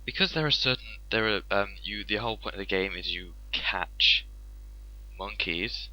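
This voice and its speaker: A man's voice: fairly low pitch, normal speed, pushed and bright, low-quality recording. Rambling man narrating his gameplay. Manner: rambling, many fillers and restarts, opinionated. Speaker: let's play host. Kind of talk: casual game commentary. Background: game music.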